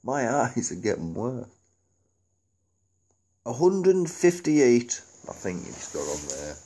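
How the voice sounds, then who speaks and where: A man's voice: mid-range voice, big pitch swings, slow, dark tone, a little hiss or hum. A middle-aged British man chatting casually and ramblingly about things, with colloquial, sweary speech and frequent chuckles. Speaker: amateur DVD reviewer. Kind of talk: casual rambling chat to camera.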